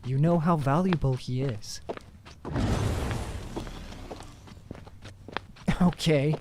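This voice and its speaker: A man's voice: a little high, moderate pace, background noise, natural voice. A man playing a determined mage in an audio drama, speaking politely and theatrically with cool insistence. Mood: wry, resigned. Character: determined male mage hunting a wanted man. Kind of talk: dramatic audio fiction acting.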